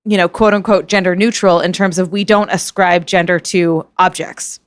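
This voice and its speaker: A woman's voice: fairly low pitch, steady tone, normal speed, no room sound. Chatty woman podcast host, dry sound. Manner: chatty, with many fillers and hesitant restarts. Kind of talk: casual chat.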